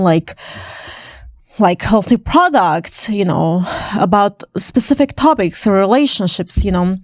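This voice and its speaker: A young woman from Armenia, a native Armenian speaker, talks casually to camera in a close, dry recording. Her pitch sits mid-range, and she laughs often between loose, rambling sentences. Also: darkish tone, dry recording. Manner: chatty, laughs often, many fillers. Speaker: vlogger.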